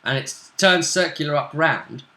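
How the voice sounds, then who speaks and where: Bright-voiced British man in an echoey room. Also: fairly high pitch, normal speed. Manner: conversational, explanatory. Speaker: game master. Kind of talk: casual recap of a tabletop role-playing session.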